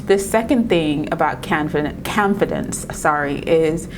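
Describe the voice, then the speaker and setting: A woman's voice: sits low, measured pace, noisy background. A woman from Trinidad and Tobago with a Trinidadian accent that has some New York in it, talking to the camera in an encouraging way, often laughing and restarting her words. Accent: Trinidadian mixed with New York. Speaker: video creator giving tips. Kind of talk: casual talk to camera.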